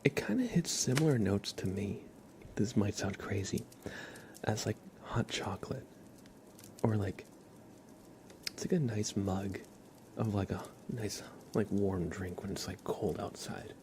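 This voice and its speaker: Low, mellow, gravelly man speaking softly. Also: measured pace, pauses often, a little hiss or hum. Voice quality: breathy, often dropping into whisper. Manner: gentle, rambling, informal. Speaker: ASMR livestream host. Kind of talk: soft-spoken ASMR, casual live chat.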